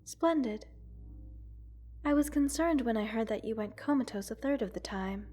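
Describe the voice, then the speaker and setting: A woman voicing a gentle, curious alien scientist in an ASMR roleplay, speaking formally, precisely and reassuringly to the listener. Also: a little high, expressive, normal speed, soft background music. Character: gentle, curious multi-world alien scientist studying a captive human.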